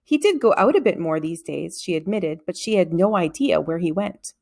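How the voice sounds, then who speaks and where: A woman reading a children's story aloud as an expressive narrator. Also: fairly low pitch, normal speed, gravelly.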